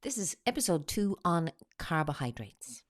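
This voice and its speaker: A woman talks through a slide presentation. She has a cold and explains each point in a steady, teacherly way. The sound is close and dry, with no room around it. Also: low-pitched, normal speed, dry recording. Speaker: weight-loss coach.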